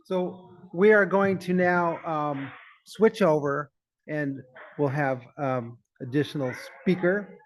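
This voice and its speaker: A man's voice: fairly high pitch, slow, a little hiss or hum. A man moderating a session, handing over to the next speaker in a casual, unscripted way with ums. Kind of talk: informal introduction between speakers.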